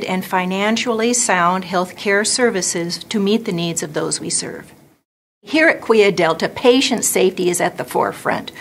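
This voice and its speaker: An American woman in her fifties explaining hospital practice in an interview as a nurse manager. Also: medium pitch. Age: 50s. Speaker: nurse manager in infection prevention. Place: indoor office or meeting room.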